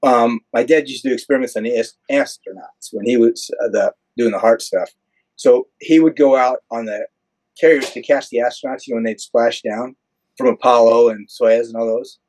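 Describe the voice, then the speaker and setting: A man's voice: medium pitch, moderate pace, a little mellow, low-quality recording. An older American man, an airline pilot being interviewed, explaining things in a conversational, matter-of-fact way. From United States.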